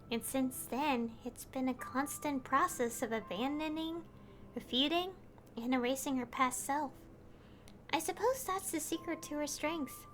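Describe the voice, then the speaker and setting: A woman voicing a calm, thoughtful young traveler who speaks reflectively. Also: high voice. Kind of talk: fan voice-over of a game scene.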